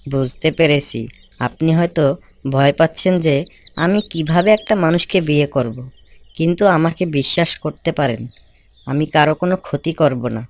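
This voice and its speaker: A man's voice: on the high side, normal speed, lightly acted. A man playing a polite, earnest cartoon snake, speaking in a courteous, entreating way. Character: polite, earnest talking snake seeking a bride.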